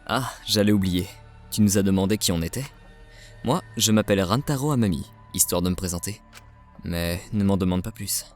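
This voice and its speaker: A man playing a composed, enigmatic high school boy in an amateur video game dub. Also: brisk, soft background music, close to an everyday voice. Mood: calm, knowing.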